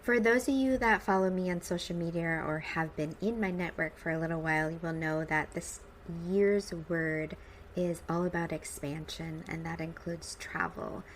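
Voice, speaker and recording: A woman's voice: fairly low pitch, fairly even intonation, normal speed, a little hiss or hum, low-quality recording. An American woman in her forties hosting a personal podcast, speaking informally with upbeat emphasis. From United States, suburban Midwest. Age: 40s. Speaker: podcast host.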